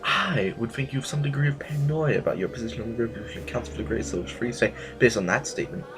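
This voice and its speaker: A man playing a smug, haughty aristocrat in an audio drama, speaking formally with hesitant, deliberate pauses. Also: mid-range voice, over music, natural voice. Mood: condescending. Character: smug, haughty male aristocrat needling a rival general.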